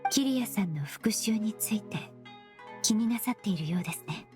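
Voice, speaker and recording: A woman's voice: mid-range voice, lively intonation, dark tone, soft background music, lightly acted. Actress as a composed, polite young woman. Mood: gently probing. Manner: measured, formal politeness. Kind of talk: Japanese game voice acting.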